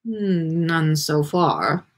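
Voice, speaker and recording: A woman voicing a weary, listless old male ghost professor in a storybook reading, hesitant and deadpan. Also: deep voice, drawn-out words, voice pushed down, exaggerated voice. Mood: flat, hesitant.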